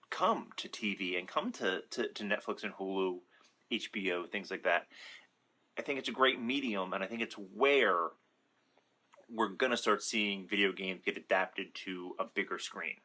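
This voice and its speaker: A man's voice: lowish voice, bright, forceful tone. A man giving casual, unscripted opinion commentary in an informal, rambling way, correcting himself as he goes and sometimes swearing. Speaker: video commentator.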